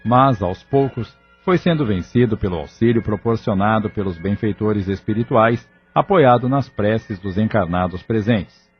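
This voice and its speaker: A man's voice: on the low side, moderate pace, few pauses. A man narrating an audio novel in a scripted, storytelling style. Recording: muffled lo-fi audio drama recording. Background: background music.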